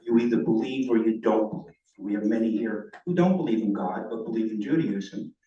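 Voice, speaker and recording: A man's voice: lowish voice, brisk, warm tone. A man in the congregation adding hesitant remarks to a group discussion, with "okay" and "um" fillers. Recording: dull, very echoey sound from far off.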